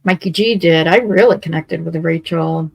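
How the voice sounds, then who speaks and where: A woman's voice: low-pitched, normal speed, vocal fry. A woman talking on a podcast panel in a casual, earnest and thoughtful way. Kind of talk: casual review chat.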